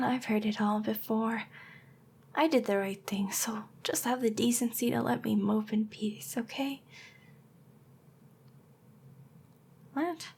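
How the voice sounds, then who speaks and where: Woman voicing a young harpy girl, anime ASMR roleplay, clingy and earnest, whispery, creaky edges, unhurried pace. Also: fairly high pitch, a little mellow, a little hiss or hum. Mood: dejected, resigned. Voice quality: breathy, often whispery. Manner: hesitant, halting, earnest. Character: young female harpy girl, hyper, clingy, lovesick and shy. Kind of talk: anime ASMR roleplay acting, intimate address to listener.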